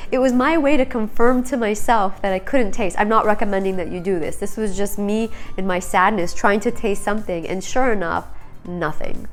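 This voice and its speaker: A woman with a stuffy, congested voice, a nutrition counselor talking earnestly to her channel's viewers in a personal vlog, often pausing to search for words. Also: medium pitch.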